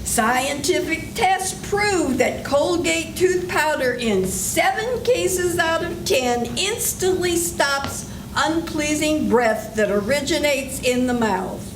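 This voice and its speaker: A woman's voice: high-pitched, animated, takes it slowly, hardly any pauses. An older woman doing a chirpy radio ad announcer. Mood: coaxing. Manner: coaxing, sing-song sales patter. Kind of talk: 1940s radio commercial reading. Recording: echoey room with background noise.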